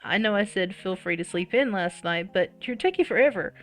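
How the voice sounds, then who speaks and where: A woman's voice: medium pitch, lively intonation, a little fast, close to an everyday voice. A woman voicing an impatient, bossy young sibling who scolds insistently in a visual novel read-aloud.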